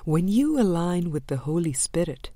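Woman narrating a spiritual audiobook, soft dark mellow tone, dry close sound, measured phrasing with pauses. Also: on the low side, big pitch swings, no echo. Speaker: audiobook reader. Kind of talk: scripted spiritual book reading.

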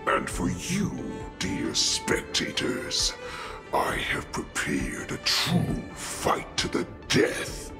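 Slow gravelly wolf warlord, cruel and taunting, game music under it. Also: male voice, on the high side, huge pitch swings, heavily acted. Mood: menacing. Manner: taunting, menacing, with cruel laughter. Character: savage wolfish male warlord, gloating and cruel. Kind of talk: video game voice acting.

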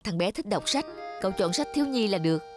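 A woman's voice: mid-range voice, animated, fast, lightly acted. A woman voicing a busy businessman father who talks curtly and impatiently in a cartoon, over background music. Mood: brusque. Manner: curt, impatient, bossy. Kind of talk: cartoon voice acting.

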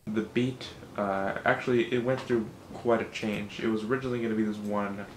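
A young man with a low, monotone voice, chatting casually and self-critically in a behind-the-scenes vlog from his bedroom, swearing often. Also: brisk, echoey room, noisy background. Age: young adult. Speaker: rap battle creator. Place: small bedroom. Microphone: studio condenser mic.

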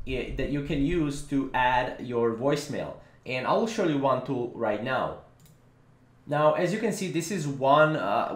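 A man hosting a casual step-by-step software tutorial, explaining in a repetitive way with frequent filler words. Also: fairly high pitch. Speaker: tutorial host. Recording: roomy, echoing voice-over.

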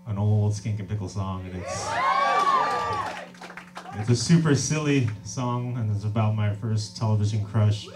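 A man in his thirties talking casually and warmly between songs at a small club gig, relaxed and self-deprecating, laughing often. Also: on the low side, a little slow, over a noisy crowd. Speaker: singer-songwriter and label owner. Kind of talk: stage banter between songs. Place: small crowded club venue, indoors. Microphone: stage vocal mic on stand, recorded from audience. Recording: distant live room recording. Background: acoustic guitar, audience.

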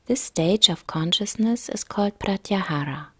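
A woman yoga nidra instructor narrating a guided relaxation meditation in a calm, soft, gentle, breathy way. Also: medium pitch, normal speed, no echo.

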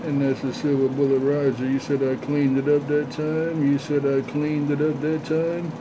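A man's voice: on the high side, little pitch movement, moderate pace. A man talking as a CB radio operator, repeating call signs and catchphrases in a chanting way over a radio channel. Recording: noisy, dull CB radio audio.

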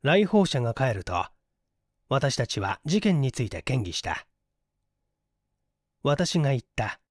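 A man's voice: mid-range voice, sing-song, unhurried, no room sound, studio quality. A man reading a story aloud as a scripted audiobook narrator.

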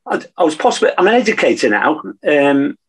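Gravelly, husky older northern English man. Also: on the high side, sing-song, a little fast. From Manchester, England. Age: 60s. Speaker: podcast guest, navy veteran. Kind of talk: casual storytelling in an interview. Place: room at home, video call.

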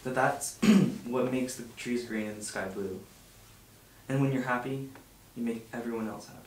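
A young man playing a heartfelt, lovesick teenage boy in a script read-through, halting and earnest. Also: medium pitch, lightly acted. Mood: tender. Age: young adult. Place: home dining room around a table. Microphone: far camera. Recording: roomy, distant mic, clear background noise.

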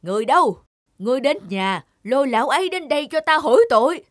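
A woman's voice: high-pitched, expressive, quick pace, no room sound, studio quality, pitched above the performer's own voice. A woman voicing a proud, vengeful rich father in a cartoon, blustering and commanding. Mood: wrathful.

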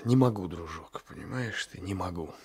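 A man's voice: low voice, animated, normal speed, darkish tone, husky, heavily acted. An actor in a 1980s radio play as a domineering, sly gendarme, patronising and insinuating, often repeating his phrases. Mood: coaxing, confiding. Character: domineering, sly gendarme coaxing a weaker man. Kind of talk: Soviet-era 1980s radio play acting.

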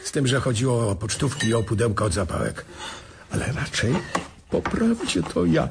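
An actor playing a gentle, evasive elderly uncle in a radio drama, speaking mildly and stammering when cornered. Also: male voice, mid-range voice, very animated, moderate pace, vocal fry, background noise. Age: older.

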